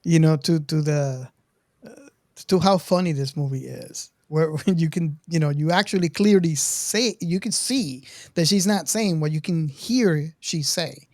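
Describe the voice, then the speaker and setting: A woman's voice: low-pitched, measured pace, a little mellow, no room sound. A woman talks casually about film as one of a podcast panel. Her voice is recorded very dry, with no room sound, in an easy conversational style.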